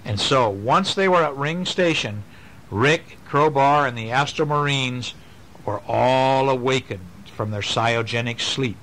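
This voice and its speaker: A father reading bedtime stories aloud to his children, gentle and animated, pausing to ask them about the pictures. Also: mid-range voice, low-quality recording. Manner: gentle, animated storytelling with questions to the kids.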